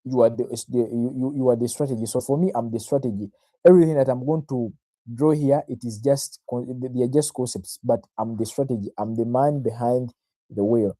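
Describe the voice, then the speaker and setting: Mellow, dark-voiced Ugandan man livestreaming. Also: medium pitch, fairly even intonation, brisk, no echo. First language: Luganda. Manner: self-assured, addresses viewers directly, repeats phrases, checks in with "right?". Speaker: trader, livestream host. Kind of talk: informal live market analysis, explaining to viewers.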